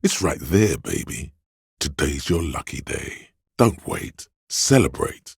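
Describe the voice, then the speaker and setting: An extremely low, very husky male voice of vice for a video game, sleazy and theatrical. He coaxes like a hedonistic tempter, unhurried and indulgent, in a close, dry recording. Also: big pitch swings, no echo, full character voice. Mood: lewd, eager. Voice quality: gravelly, husky. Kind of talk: video game character voice lines.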